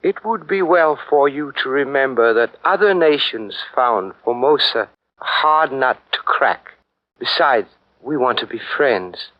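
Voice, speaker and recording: A man's voice: medium pitch, expressive, a little slow, no echo, dull audio, natural voice. An actor playing a weary, stubborn male envoy in a 1940s radio drama, speaking in a dignified, resistant way over an old broadcast recording. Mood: dignified, resisting.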